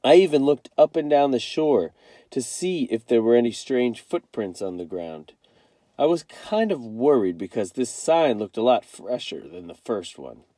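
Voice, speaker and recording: A man narrates a children's novel in the first person. His voice is soft-edged and mellow, moving freely in pitch as he tells the story. The sound is dry and close. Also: big pitch swings, normal speed, dry recording. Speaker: read-aloud storyteller.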